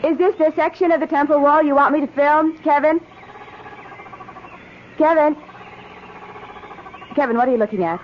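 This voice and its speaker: An actress playing a defiant, evasive young wife on an expedition in a 1970s radio drama. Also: female voice, high-pitched, moderate pace, pauses often, lightly acted. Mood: defiant, dismissive. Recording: muffled, noisy old radio broadcast.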